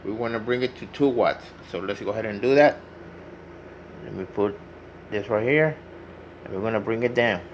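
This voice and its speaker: A man's voice: on the low side, moderate pace, long pauses, slight background noise, low-quality recording. A man narrating an informal hands-on tutorial in a plain, instructive way, repeating his instructions as he goes. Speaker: radio repair hobbyist demonstrating.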